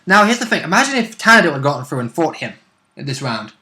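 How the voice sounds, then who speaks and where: The man across the gaming table who leans in with a bright, slightly high voice to explain the lore, tripping over his words. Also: very animated, fast talker. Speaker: co-host of a tabletop role-play vidcast. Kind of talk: casual explanatory game chat.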